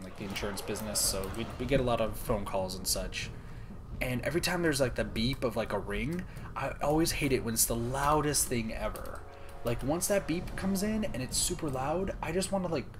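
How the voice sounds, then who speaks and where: Young guy streaming, quiet almost whispery chat, medium pitch, hissy noisy mic. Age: young adult. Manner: soft, calm, near-whispering. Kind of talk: casual gaming stream chat. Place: room at home, late at night.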